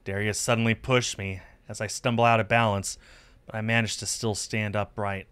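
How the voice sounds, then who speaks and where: Smooth-voiced man narrating a story. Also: on the low side, flat delivery, moderate pace, a bit bright. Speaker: streamer reading a visual novel aloud. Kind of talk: expressive first-person story narration.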